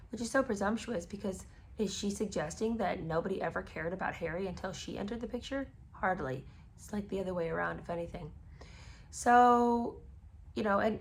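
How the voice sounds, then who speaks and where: A woman hosting a casual commentary podcast, hoarse with her voice cracking, talking in an exasperated, sarcastic tone. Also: mid-range voice, slight background noise. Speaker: podcast host. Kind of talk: casual commentary reading a memoir.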